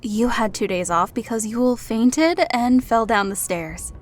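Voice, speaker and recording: A woman's voice: a little high, unhurried, natural voice. A woman playing a playful, easygoing pregnant woman in a fantasy audiobook, chatting in a casual, gossipy way. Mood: amused, sheepish. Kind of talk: fantasy audiobook dialogue over music.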